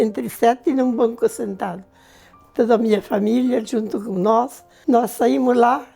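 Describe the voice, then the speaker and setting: A woman's voice: high voice, gravelly, mellow, breathy edge. A woman in her nineties in a documentary interview, telling her childhood memories plainly, step by step. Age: 90s.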